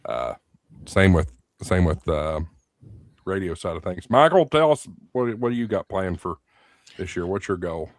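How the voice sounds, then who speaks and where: A man's voice: low voice, sing-song, a little slow, no echo. A man hosting a ham radio talk show, explaining things in a matter-of-fact way. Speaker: host. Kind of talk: casual chat.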